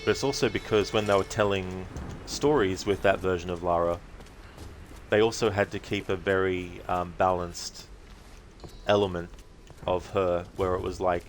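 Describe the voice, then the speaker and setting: A man giving casual, reflective livestream commentary as a game streamer, speaking haltingly in short phrases. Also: low voice, fairly even intonation, slight background noise.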